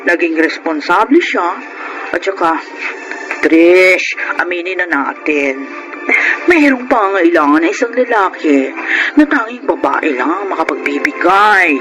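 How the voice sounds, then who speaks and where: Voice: mid-range voice, low-quality recording. A performer playing a hopeful, affectionate transgender woman in a Tagalog radio drama, with a Filipino accent and a polite, heartfelt delivery. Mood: frank. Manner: heartfelt, polite, code-switches into English. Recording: radio drama with loud music bed.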